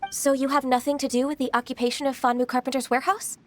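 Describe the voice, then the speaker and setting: A woman plays a solemn, earnest young official in a video game. Her voice is high, and she speaks formally and courteously in measured phrases. There is faint noise in the background. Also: level tone, lightly acted. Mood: stern, composed.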